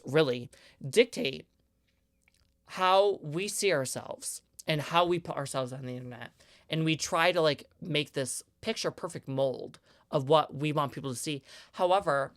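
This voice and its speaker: Young male podcast host, 20s, higher-pitched voice, clean and smooth, earnest emphatic solo rant. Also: a bit bright, dry recording.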